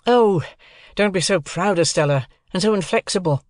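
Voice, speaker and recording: A woman voicing an earnest, wretched young gentleman in love in an audiobook, pleading and insistent. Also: lowish voice, moderate pace, dry recording, studio quality, voice pushed up, close to an everyday voice. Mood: indignant pleading.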